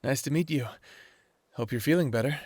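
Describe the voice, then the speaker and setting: A man's voice: medium pitch, brisk, a little mellow, no room sound, close to an everyday voice. A man acting a friendly, good-natured werewolf in an audio drama.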